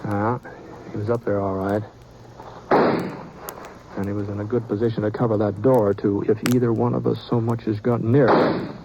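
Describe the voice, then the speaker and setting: A calm, plainspoken drifting gunman tells his tale in a slow, drawling, understated way. His voice sits low, and a clear hiss runs under the old radio recording. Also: lo-fi sound. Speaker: narrator. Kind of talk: 1950s radio drama narration.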